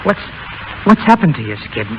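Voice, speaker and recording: An actor playing a brash but soft-hearted burlesque show boss, slangy and harried, in 1930s radio style. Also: male voice, sits high, big pitch swings, moderate pace, heavily acted. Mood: concerned. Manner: slangy, exasperated, urgent. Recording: noisy vintage 1930s radio broadcast.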